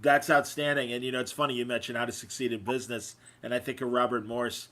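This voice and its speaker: A man hosting a casual interview, conversational and a bit halting as he thinks aloud. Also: medium pitch, little pitch movement, a bit bright. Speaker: podcast host.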